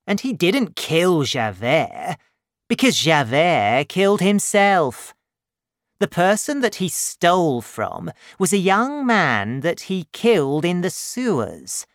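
A sly, scheming middle-aged swindler from a read-aloud storybook, put on by a male narrator. He talks slowly and pointedly, pitched a little above the narrator's own voice, with a shifted timbre. The recording is close and dry. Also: fairly bright tone, no room sound, exaggerated voice.

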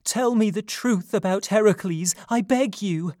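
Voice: mid-range voice, measured pace, darkish tone, dry recording, studio quality, pitched above the performer's own voice, close to an everyday voice. A narrator voicing an anxious mother who speaks with urgent, emotional pleading in a storybook myth. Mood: sorrowful pleading.

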